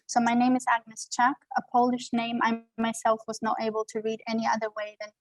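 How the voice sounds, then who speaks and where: Earnest woman reading a lecture, even delivery. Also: fairly high pitch, no room sound, rough recording. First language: German. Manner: earnest, measured, reads from notes. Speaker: guest lecturer. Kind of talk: reading a prepared lecture over video call. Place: home. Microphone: video call.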